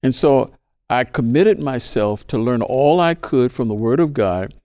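A man in his sixties, mid-pitched, tells of his calling to the ministry with earnest, measured conviction. The sound is dry, with no room around it. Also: animated, darkish tone, no echo. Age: 60s. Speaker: pastor, guest. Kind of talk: interview talk.

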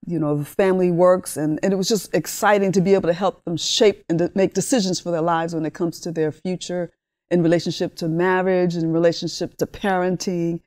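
A woman in her sixties from Honduras, with Spanish as her first language, telling her life story as an interview guest with animated enthusiasm. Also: fairly low pitch, brisk. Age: 60s. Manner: warm, animated storytelling with laughs. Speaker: guest, ministry leader. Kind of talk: interview talk.

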